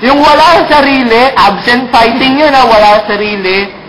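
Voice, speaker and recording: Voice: mid-range voice, normal speed, a bit bright, natural voice. A performer with a Filipino accent voicing a jokey puppet in a playful, casual greeting, teasing and repeating punchlines to explain them. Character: jokey puppet sending mangled-word greetings to a friend. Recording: roomy echo, faint noise.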